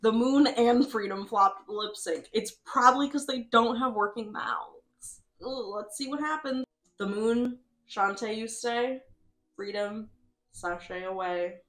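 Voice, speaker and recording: A woman's voice: on the high side, a little slow, a bit bright. A young woman giving casual, playful, slangy reaction commentary, announcing results like a pageant host. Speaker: host of a simulated reality competition. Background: music.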